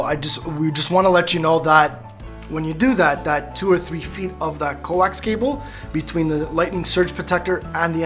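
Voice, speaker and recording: A man's voice: a little high, fairly bright tone. A man in his thirties casually explaining a truck radio install to camera, rambling with restarts and small laughs. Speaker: vlogger. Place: dim room at home, bike behind. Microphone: camera close up, facing it.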